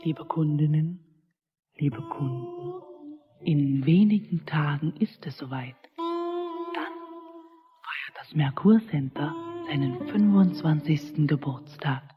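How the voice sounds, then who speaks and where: Slow, low-voiced store announcer. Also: female voice, sing-song, full character voice. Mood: formal, promotional. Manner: formal, promotional. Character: polished female shopping centre announcer. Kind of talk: public announcement in radio drama. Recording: dull lo-fi loudspeaker sound with music. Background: music.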